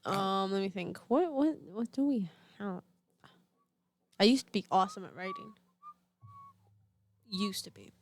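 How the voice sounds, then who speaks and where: A woman's voice: mid-range voice, wildly swooping pitch, a little slow, pauses often. A teenage girl co-hosting a casual homeschool podcast, thinking aloud with lots of fillers and restarts. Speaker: podcast co-host. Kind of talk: casual chat.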